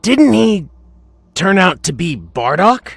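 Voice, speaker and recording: A man playing a dutiful, resolute young warrior in a video game, with a husky, gravelly edge. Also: high voice, big pitch swings, takes it slowly, a bit bright, no room sound, heavily acted. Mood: hesitant.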